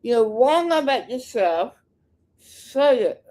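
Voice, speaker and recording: A woman's voice: a little high, very animated, slow pace, dark tone. A woman making unscripted reaction commentary, heckling in a combative, scornful and curt manner.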